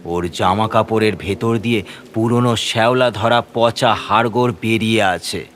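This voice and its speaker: A man's voice: fairly low pitch, fairly even intonation, normal speed, a bit bright. A man narrating a scripted horror story in the first person, with a suspenseful, theatrical delivery.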